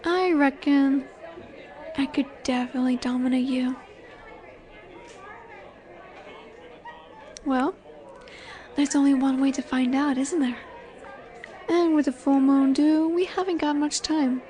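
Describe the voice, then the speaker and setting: Faint bar chatter sits behind a very high female voice. It is a woman performing a flirtatious werewolf alpha in a roleplay audio drama, sure of herself and keen to take control. She speaks slowly and teasingly, with a sultry, dominant confidence aimed straight at the listener. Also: fairly even intonation, warm tone. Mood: cocky, challenging. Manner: teasing, sultry, unhurried with drawn-out pauses.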